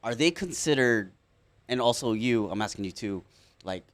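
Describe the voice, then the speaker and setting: A man hosting a casual interview podcast, talking in a slangy, expressive way with excitable reactions. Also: mid-range voice, normal speed, no echo. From Filipino background. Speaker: podcast host.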